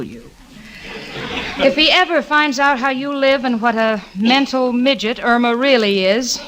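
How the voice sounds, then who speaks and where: An actress in a 1940s radio sitcom playing a level-headed young secretary with a dry, sardonic, wisecracking delivery. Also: female voice, on the high side, fairly bright tone. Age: young adult. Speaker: narrator and co-lead. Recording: noisy 1940s radio recording with clicks.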